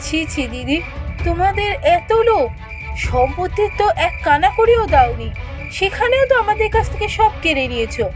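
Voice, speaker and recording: A woman's voice: very high voice, very animated, normal speed, music in the background. A woman voicing a long-suffering village mother in a fairy-tale cartoon, plaintive and reproachful. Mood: hurt reproach.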